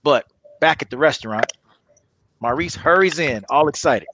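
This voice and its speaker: A man's voice: fairly high pitch, lively intonation, normal speed, fairly bright tone, dry recording. A man reading stage directions as the narrator of a comedy script table read. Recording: clearly noisy recording with clicks.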